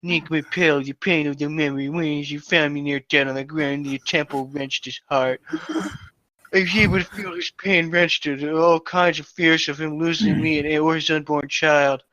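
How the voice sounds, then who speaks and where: A man's voice: fairly high pitch, steady tone, moderate pace, pushed and bright, no room sound. A man reading fan fiction aloud as a co-host, amateur in style, putting on voices for the dialogue, over a muffled lo-fi microphone.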